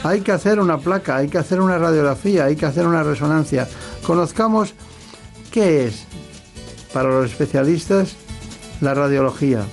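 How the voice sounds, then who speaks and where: A man's voice: fairly high pitch, moderate pace, soft background music. A man hosting a radio health show, interviewing a guest in a chatty way with frequent "eh" fillers.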